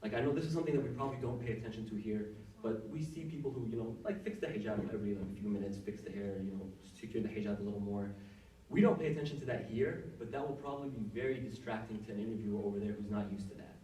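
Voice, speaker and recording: Young male doctor, low voice, chatty with fillers, echoey room, mic set back, faint room noise. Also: racing, mellow, distant mic. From Saudi Arabia. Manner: conversational, many fillers and restarts. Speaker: workshop speaker, doctor. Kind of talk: casual advice talk to students.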